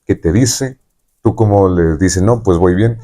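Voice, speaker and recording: A man's voice: low-pitched, unhurried, warm tone. A man with a Mexican accent hosting a conversational interview, talking in a rambling way and often checking in with tag questions. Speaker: podcast host interviewing a guest.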